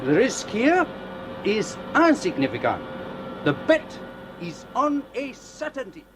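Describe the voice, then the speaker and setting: A slow, assured oil prospector. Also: male voice, high-pitched, huge pitch swings, full character voice. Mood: knowing. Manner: slow, deliberate, self-assured. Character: confident veteran oil prospector, calculating gambler. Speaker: oil exploration expert. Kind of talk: 1960s-70s radio drama acting. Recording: noisy old radio recording.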